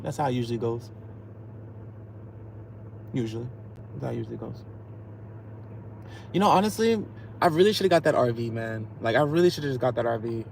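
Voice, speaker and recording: A man's voice: medium pitch, vocal fry, darkish tone, slight background noise. A man chatting casually on a livestream from his car, laid-back with mumbled asides and hyped bursts. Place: in a car at a drive-through.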